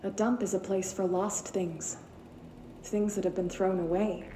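An actress playing a calm female interviewer who asks steady, probing questions about childhood. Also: medium pitch, unhurried, natural voice. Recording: echoey room with faint noise.

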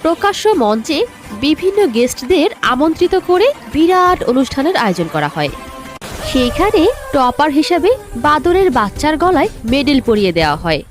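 A woman narrating a scripted children's story in an expressive way. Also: sits high, moderate pace, soft background music.

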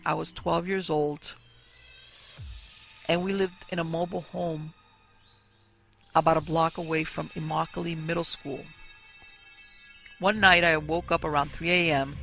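A man narrates ghost stories at a measured pace, his voice thick with gravelly fry and sitting slightly above a typical male pitch. Quiet music plays softly underneath. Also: little pitch movement. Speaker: podcast host reading listener stories.

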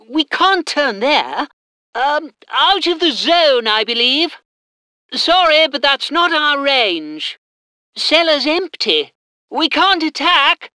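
A woman's voice: high voice, bright, forceful tone, no room sound, clean studio sound, full character voice. A woman voicing an eager, dutiful British army train crew soldier in a military strategy game, with clipped military reporting. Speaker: game voice actor.

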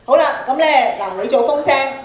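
High-voiced Hong Kong woman teaching in a hall. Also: lively intonation, moderate pace, muffled recording. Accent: Hong Kong Cantonese. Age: middle-aged. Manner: instructive, prompting with questions and tag particles. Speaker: radio drama workshop speaker. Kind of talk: interactive live workshop talk to students. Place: large indoor auditorium, on and before the stage. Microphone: handheld microphone through PA. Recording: roomy auditorium PA sound.

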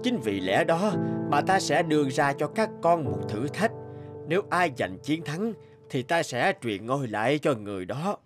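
An actor playing an aging, fatherly king in an animated fairy tale, speaking in a solemn, measured, regal way. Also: on the high side. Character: stately aging king, deliberate and fatherly to his sons. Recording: loud music under the voice.

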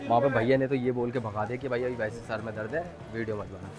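A man's voice: medium pitch, fairly even intonation, fast. A young man chatting casually to viewers as a vlogger. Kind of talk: casual vlog chat to viewers. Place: crowded outdoor street market. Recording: loud outdoor market crowd noise. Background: bustling crowd and street sounds.

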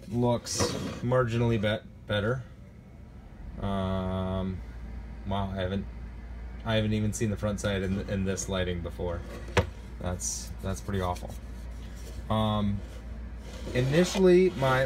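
A man's voice: mid-range voice, noisy background. Rambling, self-mocking man in his workshop. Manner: rambling, halting, with many fillers and restarts. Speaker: hobby woodworker. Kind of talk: casual self-deprecating workshop vlog. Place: small cluttered home workshop indoors. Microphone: phone camera held close.

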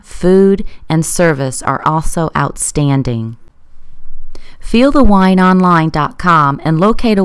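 A woman's voice: low-pitched, clear, resonant tone, dry recording. A woman reading scripted promotional narration.

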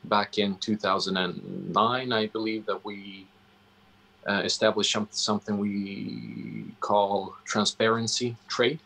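Male interview guest, Swedish accent, low gravelly voice, halting and deliberate delivery over a video call. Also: expressive, bright, forceful tone, rough recording. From Sweden. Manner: halting, deliberate, searching for words. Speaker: interview guest, coffee roastery founder. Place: indoor room, on a video call. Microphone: video call microphone.

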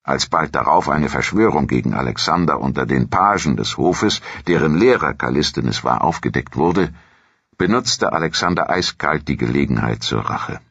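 A man reading a scripted historical documentary in a measured, dramatic storytelling manner. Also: rumbling low, expressive, creaky, fairly bright tone, slightly rough, dry recording, dull audio. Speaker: narrator.

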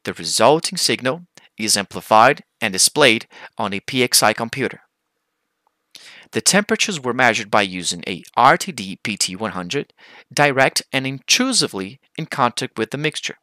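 A man's voice: medium pitch, bright, forceful tone, dry recording. A man with a Brazilian accent, a native Portuguese speaker, giving a formal, methodical scripted technical presentation as a researcher.

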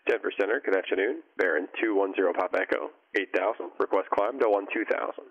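A man's voice: lowish voice, fast, breathy edge. A man as a pilot making clipped calls over an aircraft radio. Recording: dull, muffled aircraft radio transmission.

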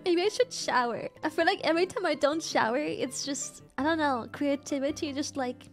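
Very high-pitched giggly woman streamer. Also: normal speed, soft background music. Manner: giggly, teasing, breaks into frequent laughter. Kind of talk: crude casual chat with viewers.

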